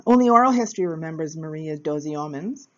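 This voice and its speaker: A woman with a low voice narrates a capoeira history lesson at an easy pace. She hesitates often, filling gaps with uh between phrases. The recording sounds a little dull and lo-fi. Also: expressive, a little fast. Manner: hesitant, many uh fillers, plain. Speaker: capoeira teacher. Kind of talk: casual educational narration.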